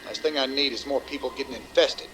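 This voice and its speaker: An actor playing a commanding, plainspoken rebel leader giving folksy, authoritative orders in battle. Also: male voice, medium pitch, fast, a bit bright, natural voice. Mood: firm. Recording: clear battle and engine noise behind.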